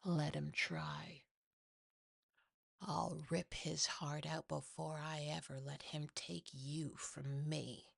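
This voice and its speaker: Horror roleplay demon, played by a woman: low, husky, hissing, sneering and possessive. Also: level tone, takes it slowly, no echo, clean studio sound, heavily acted. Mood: menacing contempt. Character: possessive, contemptuous, furious whispering demon.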